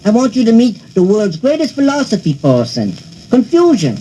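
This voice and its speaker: A man's voice: sits high, wildly swooping pitch, moderate pace, mellow, slight background noise, rough recording. A man voicing a silly, naive male pilot sidekick in a cartoon, giddy and sing-song, mixing up his words.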